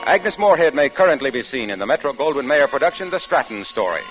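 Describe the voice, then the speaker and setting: A man's voice: medium pitch, very animated, fast talker. A man with an American accent reading scripted announcements in 1940s radio style. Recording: dull old radio broadcast recording. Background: music behind the voice.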